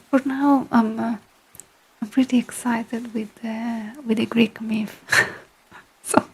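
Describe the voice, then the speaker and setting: A soft, whispery Italian woman talks close to the microphone in a slow, unhurried murmur. Her voice is mellow and very breathy, with a flat, gentle melody, and she pauses often on small fillers as she finds her English words. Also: on the high side, low-quality recording. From Italy. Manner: whispery, hesitant, many fillers. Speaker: vtuber ASMR streamer. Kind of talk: soft-spoken ASMR storytelling and chat.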